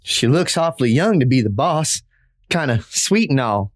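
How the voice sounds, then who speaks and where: A woman's voice: rumbling low, sing-song, moderate pace, heavily acted. A woman playing a curious, naive young male outlaw underling in a Western radio play, folksy and inquisitive, with an American accent.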